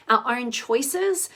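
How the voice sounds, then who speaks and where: Woman, higher voice, slightly hoarse, live-stream host, reflective. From Australia. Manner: reflective, often ends phrases with "right?". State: slightly hoarse from losing her voice. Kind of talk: live-stream talk reading viewer comments.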